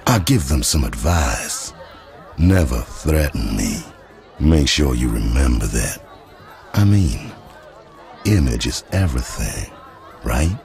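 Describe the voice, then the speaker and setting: An actor playing a menacing, sardonic crime boss in a video game, mocking and intimidating. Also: male voice, extremely low pitch, a little mellow, heavily acted.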